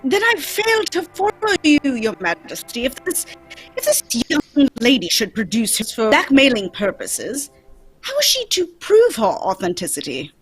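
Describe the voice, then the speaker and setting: A shrewd gentleman detective played by a woman, high in pitch and slightly husky. The delivery swings widely in tone, formal and polite, in the manner of an old-time radio mystery. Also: huge pitch swings, a little slow, faint music behind, full character voice, poor audio. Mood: probing, courteous.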